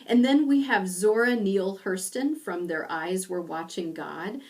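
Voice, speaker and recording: A middle-aged woman from rural Indiana giving a recorded online lecture from her home study, explaining slowly with pauses. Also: medium pitch, expressive, darkish tone, far from the mic. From rural Indiana, USA. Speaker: university lecturer.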